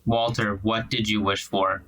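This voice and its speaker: A man's voice: fairly low pitch, level tone, measured pace, sharp tone. A man playing a suspicious, accusing character in a tabletop drama, pressing with terse, pointed questions. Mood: pressing, stern. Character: suspicious, accusing, dogged interrogator named Dakota.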